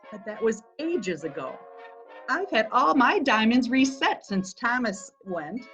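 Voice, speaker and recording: A woman's voice: fairly high pitch, sing-song, unhurried, fairly bright tone, faint music behind. A woman playing a haughty vain old dowager. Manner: haughty, imperious. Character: vain proud wealthy old society dowager. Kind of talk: readers theatre acting.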